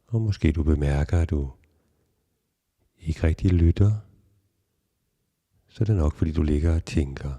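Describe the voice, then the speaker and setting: A man guiding a relaxation meditation in a calm, measured, instructional way with long pauses. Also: extremely low pitch. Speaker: yoga nidra guide.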